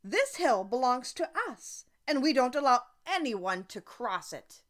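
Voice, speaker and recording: A woman voicing a short, stout, hostile hammerhead man in a rough, blunt storybook manner. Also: a little high, big pitch swings, measured pace, a bit bright. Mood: gruff, defiant. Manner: blunt, defiant.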